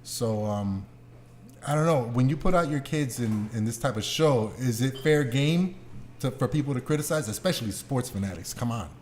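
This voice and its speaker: A man talking casually and bluntly as a podcast host, opinionated and quick to swear. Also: mid-range voice, animated, darkish tone, a little hiss or hum. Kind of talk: casual group podcast chat.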